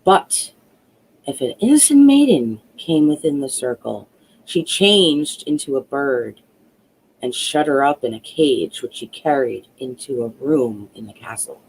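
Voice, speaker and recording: A woman narrating a fairy tale as a storyteller, deliberate and dramatic. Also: extremely low pitch, expressive, darkish tone, slight background noise, poor audio.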